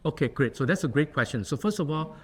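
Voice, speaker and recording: A man's voice: on the high side, animated, quick pace, breathy edge. A middle-aged man from Singapore with a Singaporean accent, an associate dean giving an earnest talk and answering questions in a lecture room. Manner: earnest, with frequent fillers and restarts.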